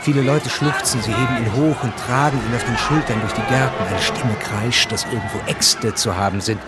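A man's voice: mid-range voice, fairly quick, a loud crowd, natural voice. A man reading scripted narration for a radio drama. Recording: narration mixed with loud music and effects.

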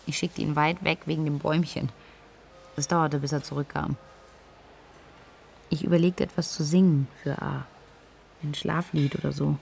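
An actress playing a young student in a radio play, recalling a drunken night of grief in a candid, dry, conversational way. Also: female voice, deep voice, steady tone, normal speed, gravelly, long pauses, darkish tone, a little hiss or hum. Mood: subdued, wry. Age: young adult. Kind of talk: interview-like monologue in a radio play.

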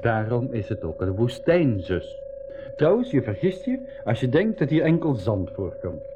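A man's voice: medium pitch, sing-song, unhurried. A man playing an eager, outspoken boy telling his adventures in a 1980s school radio play. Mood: alert, curious. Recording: thin phone-like radio recording, music.

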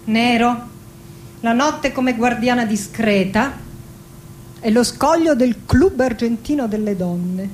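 A woman acting a melancholy middle-aged poet in a theatrical monologue, speaking in a hushed, dramatic way that often slips toward whispering. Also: on the high side, slow, a little hiss or hum, rough recording. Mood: grave, resolute. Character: melancholy 46-year-old woman poet bidding farewell to life. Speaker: actress and director. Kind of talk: theatrical poetic monologue with music. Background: music.

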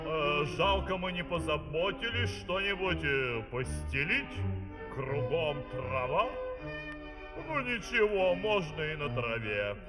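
Pompous comic dictator, swoopy theatrical voice, old soviet record w music behind. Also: male voice, on the high side, expressive, takes it slowly. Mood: drowsy. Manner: pompous, declamatory. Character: pompous male dictator and president of comic fairy-tale opera. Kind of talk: theatrical Soviet opera-fairy-tale acting with singing. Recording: 1971 vinyl record, reverberant hall, music.

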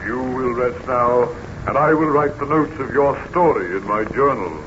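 An actor playing a courteous sea captain with formal speech in a 1930s radio serial. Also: male voice, medium pitch, moderate pace, lightly acted. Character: attentive, courteous male sea captain keeping journal. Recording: noisy, muffled 1930s radio recording.

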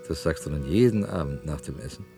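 An actor playing a brooding, weary cellar worker full of doubt in a science-fiction radio play, intense and dropping into hushed whispers. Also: male voice, rumbling low, animated, quick pace, creaky, music in the background, exaggerated voice. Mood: subdued.

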